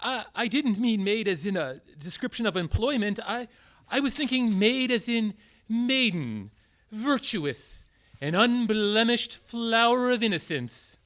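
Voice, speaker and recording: A man's voice: high voice, expressive, unhurried, a bit bright. A man playing an earnest, theatrical, easily wounded outlaw leader in a comic radio play. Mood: earnest pleading. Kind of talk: radio-play comedy acting.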